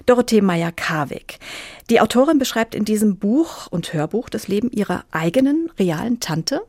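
A woman's voice: mid-range voice, lively intonation, moderate pace, a little mellow, dry recording. A woman hosting a radio programme, presenting from a script. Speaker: radio host.

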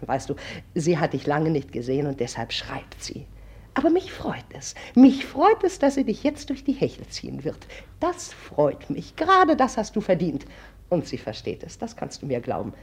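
An actress playing a brusque, sharp-tongued middle-aged matron in a German radio drama, with a husky voice and scolding, commanding manner. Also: female voice, deep voice, wildly swooping pitch, normal speed, heavily acted. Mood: gleeful, scolding.

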